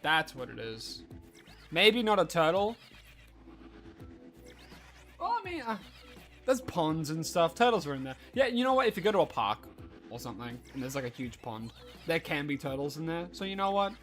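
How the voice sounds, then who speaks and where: A young man with a slightly high, stuffy, nasal voice chats through a gaming stream, greeting viewers and thinking out loud with plenty of fillers. Soft music plays faintly underneath. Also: big pitch swings, moderate pace, long pauses. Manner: chatty, greets viewers, muses aloud with fillers. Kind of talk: casual live-stream chat while gaming.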